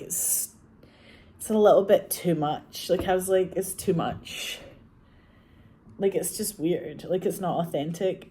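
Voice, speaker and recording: A woman's voice: on the low side, mellow, a little hiss or hum. A Scottish woman telling stories in a rambling, chatty way, laughing often and saying "like" a lot. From Scotland. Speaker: actress and filmmaker. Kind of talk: casual chat.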